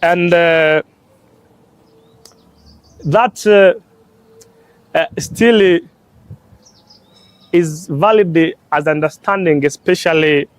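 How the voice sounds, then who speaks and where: A young religious brother and law student from Tanzania, explaining church teaching on marriage in short, halting phrases with many pauses and fillers. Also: male voice, on the high side, no room sound. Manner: slow, halting delivery in short phrases with frequent "uh".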